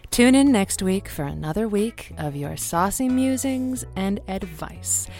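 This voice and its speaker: A woman's voice: medium pitch, expressive, unhurried, a little mellow, soft background music. A woman playing a blunt, sassy radio advice show host in an audio drama, with a theatrical, sardonic, wordy delivery. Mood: flirty showmanship. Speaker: call-in radio host character.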